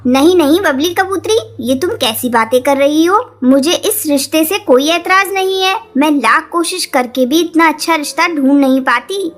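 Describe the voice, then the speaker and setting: A woman's voice: high voice, moderate pace, few pauses, lightly acted. A woman voicing a caring, agreeable mother bird in a children's cartoon, motherly and indulgent. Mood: friendly. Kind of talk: Hindi children's cartoon voice acting.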